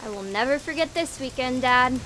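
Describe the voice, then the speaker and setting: A woman's voice: high-pitched, fairly quick, background noise, lightly acted. A woman with an American accent playing a reluctant, sardonic daughter in naturalistic game dialogue, dry and grudging. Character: reluctant, sardonic daughter on a rainy hunting trip. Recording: music and rain behind the voice. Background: music, rain.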